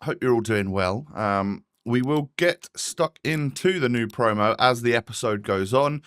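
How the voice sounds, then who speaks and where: A man's voice: mid-range voice, unhurried, fairly bright tone, smooth, dry recording. A British man with a slightly bunged-up nose, sounding tired as he gives chatty, casual gameplay commentary as a gaming host. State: slightly congested.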